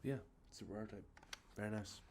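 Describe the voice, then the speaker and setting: A man with a congested, sniffly nose, talking softly and rambling, with many fillers, as an ASMR host showing off games he collected. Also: on the low side, fairly even intonation, brisk, a little hiss or hum. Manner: rambling, many ums and uhs, self-correcting. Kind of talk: soft-spoken show-and-tell about collected video games. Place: outdoors with traffic nearby.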